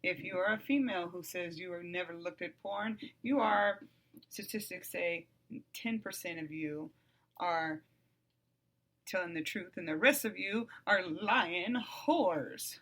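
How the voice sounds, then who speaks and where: A middle-aged woman talks alone into her podcast mic. Her voice is bright and clear. She keeps an even, calm delivery and often turns blunt and frank, swearing freely. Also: lowish voice, a little slow. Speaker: podcast host. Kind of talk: solo podcast monologue.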